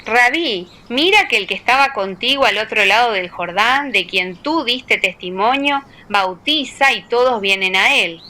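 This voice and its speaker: A woman's voice: a little high, animated, measured pace, few pauses, pushed and bright. A woman playing a respectful, concerned disciple addressing a teacher in a dramatized scripture audiobook. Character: follower of John, respectful, concerned.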